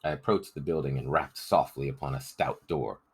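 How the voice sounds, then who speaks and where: A man's voice: a bass voice, moderate pace, breathy edge. A man narrating a story as a scripted audiobook reading.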